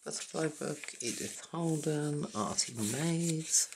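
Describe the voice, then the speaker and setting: A hoarse woman hosting a papercraft channel, quietly naming each item in a casual journal flip-through with a croaky voice. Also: low-pitched, background noise. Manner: quiet, halting, naming items one by one.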